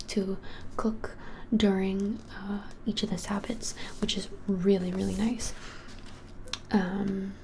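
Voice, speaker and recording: A woman in her twenties whispering an ASMR roleplay as a shop clerk, gently and hesitantly, with many pauses. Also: mid-range voice. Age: 20s. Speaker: ASMR creator playing a witch shop clerk. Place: plain small indoor room. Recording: clearly hissy background noise.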